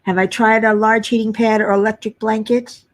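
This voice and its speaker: A middle-aged woman with a low voice, tired from lack of sleep, chatting casually to viewers as host of a crafting livestream. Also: little pitch movement, moderate pace. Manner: chatty, muttering while searching for pieces. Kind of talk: casual live chat while diamond painting.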